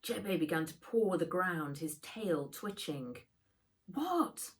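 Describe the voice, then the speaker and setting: A woman reading a children's adventure book aloud in expressive narration, as an author reading her own work. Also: lowish voice, slow pace.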